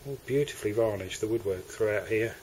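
A man with an English accent walks through the cabins of a moored motor yacht, narrating a sales tour in a relaxed, hesitant way. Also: on the low side, a little fast, a little hiss or hum. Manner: relaxed, hesitant, with fillers and restarts. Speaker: yacht broker. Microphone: handheld camera mic.

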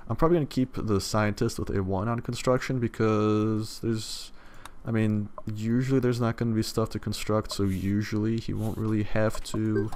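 Young male let's-player, a bit hoarse, lowish voice, rambly and casual, noisy recording. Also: level tone, normal speed, darkish tone. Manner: loose, rambling, swears casually. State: slightly hoarse. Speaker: let's play gamer. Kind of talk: casual gameplay commentary.

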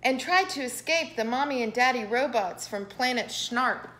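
Animated high-voiced woman reading a storybook. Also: moderate pace, a little hiss or hum. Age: middle-aged. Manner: expressive, dramatic emphasis. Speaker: English professor reading a picture book to children. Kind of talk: animated children's storybook read-aloud. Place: indoor living room with piano.

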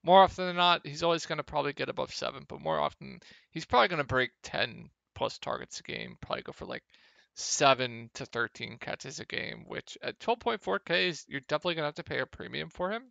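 A young man with a slightly hoarse, gravelly voice, casually talking through fantasy football picks over a screen share, with many ums. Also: on the high side, fast, no room sound, lo-fi sound. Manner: relaxed, lots of ums. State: a little hoarse. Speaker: fantasy sports analyst.

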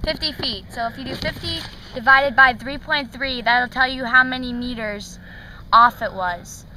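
Young woman, high and bright, matter-of-fact, recorded outdoors on a phone with faint noise behind. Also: moderate pace, rough recording. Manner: matter-of-fact, working out numbers aloud. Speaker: runner, the speaker's daughter. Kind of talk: casual chat outdoors. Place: outdoors on a grassy course.

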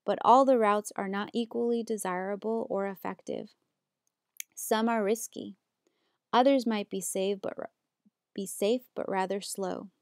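A young woman from the United States, a graduate student reading a prepared slideshow presentation in a steady, earnest way. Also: medium pitch, unhurried, no echo, clean studio sound.

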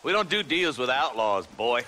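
An actor playing a tough, no-nonsense old Western lawman in a video game, gruff and commanding, with an American accent. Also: mid-range voice, big pitch swings, moderate pace, bright, forceful tone, rough recording. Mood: firm. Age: older. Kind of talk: video game cutscene acting.

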